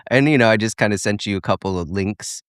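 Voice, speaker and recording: Man, fairly low pitch, clean resonant voice, dry sound, podcast host, casual interview style. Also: fast talker, clean tone, dry recording.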